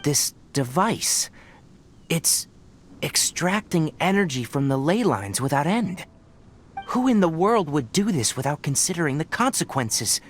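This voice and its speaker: A man voicing a serious, analytical young forest ranger scholar in a video game, speaking in a measured, precise, explanatory way. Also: on the high side, slight background noise, natural voice. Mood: grave, concerned. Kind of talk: English video game voice acting. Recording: game music behind the voice. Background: video game music.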